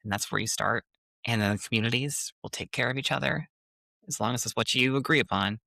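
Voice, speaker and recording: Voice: mid-range voice, quick pace, creaky, pushed and bright, no echo. A podcast co-host with a Minnesotan accent, talking in an earnest, encouraging way with hesitant fillers. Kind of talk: casual podcast chat.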